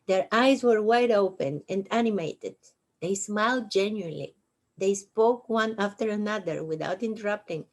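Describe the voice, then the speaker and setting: A woman in her sixties with a Bulgarian accent, host of her own show, reading her memoir aloud slowly and deliberately with dry humor. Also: mid-range voice, a little mellow. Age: 60s. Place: at home on a couch.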